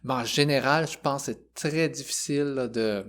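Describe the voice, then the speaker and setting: A man's voice: mid-range voice, normal speed, fairly bright tone. A man in his forties with a Quebec French accent, a musician talking thoughtfully as a podcast guest, with frequent hesitations. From Quebec, Canada. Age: 40s. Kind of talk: casual conversation.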